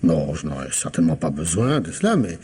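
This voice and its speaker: An actor playing a probing, wry police commissioner in a French radio mystery, questioning insistently with feigned hesitations. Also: male voice, very deep voice, very animated, a little fast, dark tone, slightly rough, exaggerated voice. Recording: noisy old radio drama recording.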